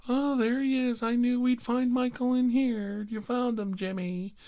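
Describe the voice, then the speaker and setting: A man's voice: extremely high pitch, fairly even intonation, measured pace, warm tone, highs cut off, voice pushed up. A man voicing a nagging, disapproving older mother with an accusing, sing-song way of scolding. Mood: triumphant scolding.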